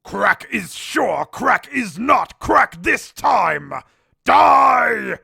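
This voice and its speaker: A man voicing a dim, angry caveman warlord who shouts short, broken phrases about himself in a raspy voice. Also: extremely high pitch, very animated, very slow, dry recording, clean studio sound, exaggerated voice. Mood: furious. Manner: shouting in clipped, broken third-person phrases. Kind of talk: comedic game-character voice lines.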